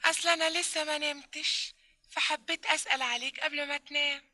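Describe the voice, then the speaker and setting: Coy, high-pitched Egyptian flirt. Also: female voice, steady tone, quick pace, pushed and bright. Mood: coy, apologetic. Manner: drawling, exaggerated coyness. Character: coy, teasing, flirtatious woman on a late-night phone call. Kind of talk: old Egyptian radio comedy acting.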